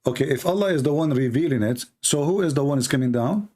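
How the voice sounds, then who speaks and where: A man's voice: fairly high pitch, animated, quick pace, darkish tone. A man whose first language is Arabic, pressing his point insistently in a heated religious debate.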